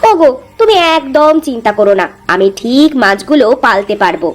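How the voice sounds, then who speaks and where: A woman's voice: fairly high pitch, animated, brisk, lightly acted. A woman playing a confident, competitive village wife in a cartoon, speaking in an assured, coaxing way. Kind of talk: Bangla cartoon voice acting.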